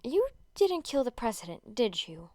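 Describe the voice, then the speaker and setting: A woman voicing an anxious, starstruck young schoolboy with an eager, squeaky, stammering way of talking. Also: very animated, moderate pace, a little mellow, no echo. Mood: shy, earnest.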